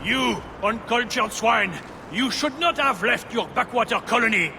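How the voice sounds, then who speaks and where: A haughty, hostile enemy sneers theatrically in a video game. The voice is extremely high and creaky, with contempt throughout, over clear rumbling noise. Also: male voice, animated, a little slow, exaggerated voice. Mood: scornful. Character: haughty, hostile male Parisian street enemy.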